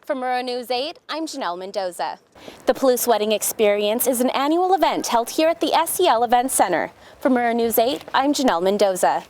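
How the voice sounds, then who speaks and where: A woman's voice: fairly high pitch, expressive, brisk, a little mellow. A young woman delivering scripted TV news in a presentational broadcast manner, as a reporter and anchor.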